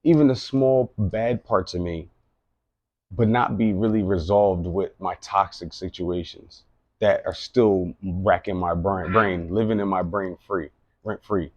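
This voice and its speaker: A man's voice: sits low, fairly even intonation, measured pace, darkish tone, dry recording, lo-fi sound. A man in his late thirties giving an earnest, rambling personal monologue, often repeating himself. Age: late 30s. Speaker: vlogger.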